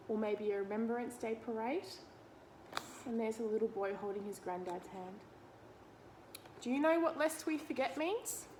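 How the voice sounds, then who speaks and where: A woman's voice: on the high side, a little mellow, a little hiss or hum, poor audio. A woman reading a picture book aloud to children, explaining it simply and putting questions to the listener. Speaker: read-aloud teacher.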